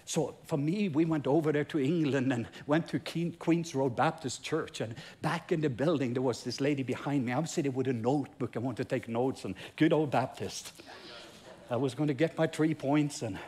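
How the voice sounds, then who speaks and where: A man's voice: medium pitch, normal speed, a little mellow, husky. A Norwegian man, a pastor speaking English as his second language, tells a testimony in a sermon with emphatic, repeating build-ups. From Norway.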